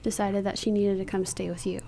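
A woman's voice: mid-range voice, little pitch movement, fairly quick, vocal fry, warm tone. A woman interviewer putting questions in a conversational radio interview. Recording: faint hum behind voice.

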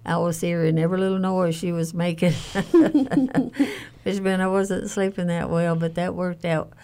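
A woman's voice: lowish voice, measured pace, dark tone. An older woman from Alabama, recalling family life in a conversational interview. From Alabama, United States. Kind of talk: conversational recollection.

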